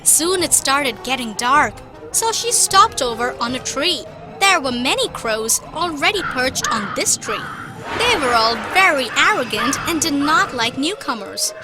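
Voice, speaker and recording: A woman voicing a patient cartoon mother who tells children fables in an expressive, instructive way. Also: high voice, unhurried, music in the background. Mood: storytelling. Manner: expressive, didactic. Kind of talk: children's storytelling.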